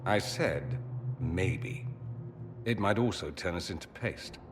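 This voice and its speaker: Lively, sardonic gentleman mage in a hall. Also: low voice, takes it slowly. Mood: wry, uneasy. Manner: wry, articulate. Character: urbane, sardonic male mage, quick-witted and theatrical. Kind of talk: dramatic fantasy game dialogue. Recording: reverberant hall, noisy game ambience.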